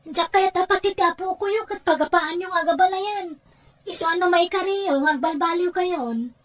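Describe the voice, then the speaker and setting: A woman's voice: very high-pitched, moderate pace, fairly bright tone. A woman with an Ilocano Filipino accent acting an aggrieved family member in an amateur audio drama. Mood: scolding.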